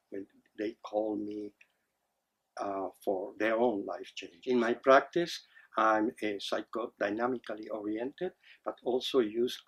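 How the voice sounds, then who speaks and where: Husky low-voiced man. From Argentina. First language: Spanish. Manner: measured, hesitant, with frequent fillers. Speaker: psychotherapist. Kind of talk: introducing his therapy practice to camera.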